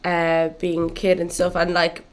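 A woman's voice: lowish voice, measured pace, clean tone. Chatty young woman musician guest. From Cuba, grew up in Dublin. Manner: relaxed, chatty, full of "like" and "um". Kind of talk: casual interview chat.

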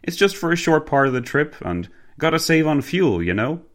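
A man's voice: fairly high pitch, very animated, moderate pace, voice pushed up. A man voicing a cheeky British cab driver with a Cockney accent, chatty and matey. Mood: chummy, cheeky.

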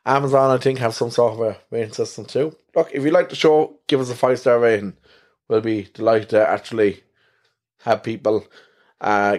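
A man's voice: fairly low pitch, darkish tone. An Irish man hosting a podcast, chatting casually in a rambling, self-deprecating way with a soothing voice. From Ireland. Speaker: podcast host. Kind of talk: casual solo podcast chat.

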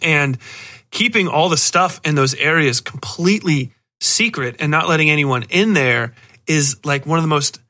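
A man's voice: on the high side, normal speed, bright, forceful tone. A man hosting a podcast, giving a casual, rambling review in a sardonic, exasperated way. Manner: sardonic, exasperated, mockingly acts out lines. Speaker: podcast host.

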